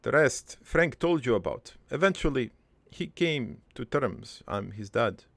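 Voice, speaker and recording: A man acts out a gravelly old cartoon father telling his life story from beyond the grave. He rambles haltingly, with crude deadpan humour and a wistful, doting streak. The voice creaks heavily, moves at an unhurried pace and sits close and dry. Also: mid-range voice, no echo, exaggerated voice. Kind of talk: improvised first-person cartoon monologue.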